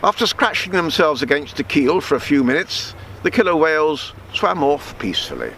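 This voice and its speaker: An older man reading a true sea tale aloud as a storyteller, measured, sometimes stumbling and repeating words. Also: a little high, very animated, a bit bright, a little hiss or hum. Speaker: storyteller reading his book.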